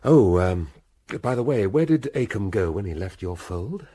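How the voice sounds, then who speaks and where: A man's voice: on the low side, very animated, normal speed, dark tone, husky. A man playing a shrewd police inspector in a radio drama, speaking in a dry, hesitant, politely probing way. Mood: probing, wry. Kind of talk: full-cast radio drama acting.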